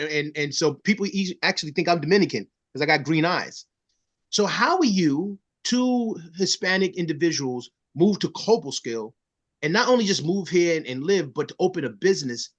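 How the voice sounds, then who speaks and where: A man from the Bronx, co-hosting a community interview and telling stories emphatically, often repeating words. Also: a little high. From the Bronx, New York. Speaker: co-host. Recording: dull lo-fi sound.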